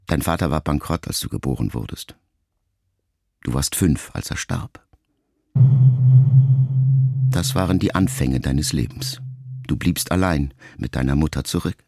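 A man in a radio production reading a letter aloud as literary narration, quiet and reflective, with phrase endings that trail off softly. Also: a bass voice, unhurried, gravelly, pauses often.

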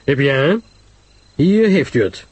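A man's voice: mid-range voice, very animated, takes it slowly, darkish tone, husky, dry recording, highs cut off, full character voice. A man voicing a grave, curt detective in a mystery story, speaking sharply with French interjections. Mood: stern warning. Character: grave, curt male detective giving stern advice.

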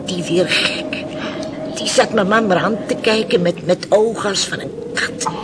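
A middle-aged fishing village widow in an old radio drama, speaking slowly and haltingly. She is resigned and grave, often sinking to a hush, on a clearly noisy old recording. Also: on the low side. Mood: haunted. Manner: slow, halting storytelling, dropping to hushed tones. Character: weathered, resigned fisherman's widow recounting losses to the sea. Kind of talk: Dutch radio play acting.

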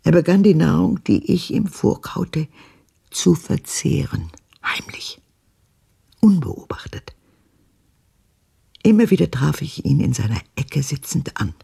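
An actress playing an embittered old woman who recalls her past with dramatic intensity in a radio drama, her voice husky and gravelly. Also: sits low, very animated, a little slow, pauses often, mellow, whispered, exaggerated voice. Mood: brooding, haunted. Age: older.

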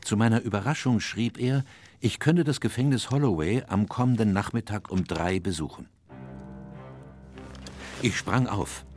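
An actor playing a loyal, earnest gentleman doctor, with literary, expressive delivery in a radio mystery drama. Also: lowish voice, normal speed, pauses often, no echo, soft background music, lightly acted. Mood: intent. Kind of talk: radio drama narration and dialogue.

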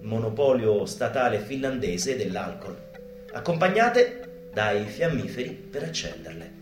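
A man performing a theatrical mock lecture on stage, emphatic and dramatic, with deliberate pauses. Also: very animated. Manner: emphatic, dramatic delivery with deliberate pauses and sudden drops to a hushed tone. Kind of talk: theatrical live monologue, mock instructional lecture. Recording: roomy live theater sound, music behind. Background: live guitar and bass music.

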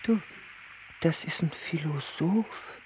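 An actor playing a curious, gossipy, whimsical onlooker in a radio play, speaking in a conspiratorial tone. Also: male voice, a little high, slow, darkish tone, rough recording. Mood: curious, amused. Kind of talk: German radio play dialogue. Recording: faint background noise and ambience.

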